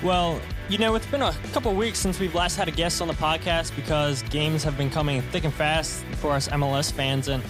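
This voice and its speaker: A man's voice: a little high, steady tone, brisk, few pauses, music in the background. A man from Maryland hosting a soccer podcast, chatty and loose, leaning on fillers. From Maryland, USA. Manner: chatty, leans on fillers like "and everything". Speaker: podcast host. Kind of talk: casual podcast chat.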